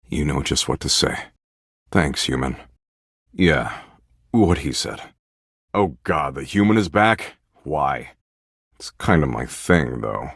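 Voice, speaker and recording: A morose, sardonic man for a video game, with a very deep voice. He sulks and grumbles in deadpan, yet his pitch swings widely. The recording is dry and close. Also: slow pace, pauses often, husky, no room sound, full character voice. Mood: sulky, grudging. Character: gloomy, sulky, sarcastic nihilist man, a living statue. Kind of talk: video game character acting.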